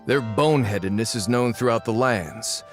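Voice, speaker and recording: An actor voicing a cautious male townsman in a game, explaining things in an earnest, lively way. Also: mid-range voice, normal speed, close to an everyday voice. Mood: concerned. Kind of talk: video game voice acting. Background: faint game music.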